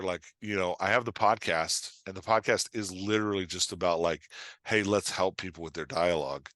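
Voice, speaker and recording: A man's voice: low voice, a bit bright, no room sound. A man talking casually as a podcast guest and writing coach, rambling as he explains, peppering it with "like" and imagined quotes. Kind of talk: casual conversation, explaining and joking.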